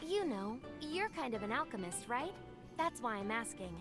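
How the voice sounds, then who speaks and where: A woman's voice: a little high, big pitch swings, normal speed. A woman voicing a composed, helpful young woman in an anime game, speaking politely. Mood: composed, concerned. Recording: game music behind the voice.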